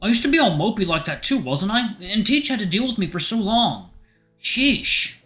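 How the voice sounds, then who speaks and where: A man's voice: sits high, big pitch swings, moderate pace, a bit bright, soft background music, pitched above the performer's own voice. A man voicing a playful, caring young man who talks in a light, teasing, earnest way. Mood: earnest, grateful.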